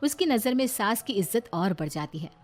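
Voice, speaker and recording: A woman's voice: on the high side, sing-song, a little fast, soft background music. A woman reading scripted, dramatic narration for a moral story.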